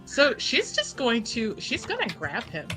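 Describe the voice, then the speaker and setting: A woman with a bright voice and very lively intonation plays a roleplaying game. She talks casually, working out what her character does. Music runs underneath. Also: on the high side, unhurried. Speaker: player in a tabletop roleplaying game. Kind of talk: casual improvised game chat.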